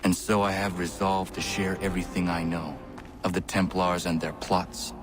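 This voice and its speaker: A man playing a young assassin in a video game, earnest and defiant, with formal, measured diction. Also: low-pitched, flat delivery, music in the background, lightly acted. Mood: solemn, resolute. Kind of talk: dramatic video game acting.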